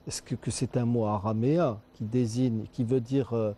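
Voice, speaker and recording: Very dark-voiced male TV host. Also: mid-range voice, steady tone, moderate pace. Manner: chiming in with follow-up remarks. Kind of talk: studio discussion.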